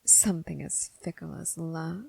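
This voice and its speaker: A woman voicing a bored, mocking female demon in an ASMR roleplay, half-whispering in a languid, condescending way with a husky, creaky voice. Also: sits low, mellow, no echo, exaggerated voice. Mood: amused disdain. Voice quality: breathy, husky, with creaky fry. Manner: languid, condescending, drawn-out phrasing with sighs.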